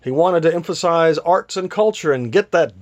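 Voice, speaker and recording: A man's voice: a little high, moderate pace. A man reading a literary story aloud as an author would, with a theatrical delivery. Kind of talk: literary read-aloud, scripted narration.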